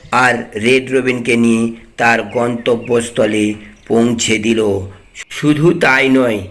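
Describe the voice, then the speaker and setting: A man narrating a scripted storybook tale for a cartoon. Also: mid-range voice.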